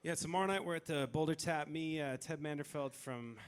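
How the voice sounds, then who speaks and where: A man's voice: on the high side, a little fast, clear, resonant tone. A man from Minnesota, a musician guest chatting casually and in a laid-back way in an interview. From Little Falls, Minnesota, US.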